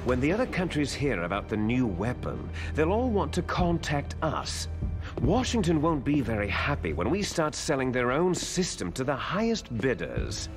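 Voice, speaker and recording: An actor playing an arrogant, cunning terrorist boss in a video game cutscene, commanding, theatrical and contemptuous in manner. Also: male voice, mid-range voice, wildly swooping pitch, normal speed, music in the background. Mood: calculating, confident.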